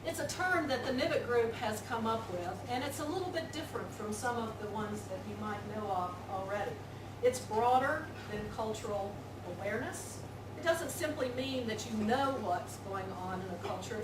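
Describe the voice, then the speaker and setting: A woman with a Southern American accent giving an earnest, measured conference talk and leaning on repeated phrases for emphasis. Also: fairly high pitch. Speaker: conference speaker. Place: conference hall. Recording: echoey hall, distant mic, loud noise.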